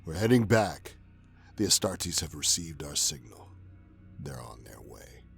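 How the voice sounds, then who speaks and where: A man playing a weary, grave and persuasive zealot soldier in an audio drama, with a gravelly voice and earnest, emphatic delivery. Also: extremely low pitch, very animated, measured pace, soft background music, exaggerated voice. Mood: urgent.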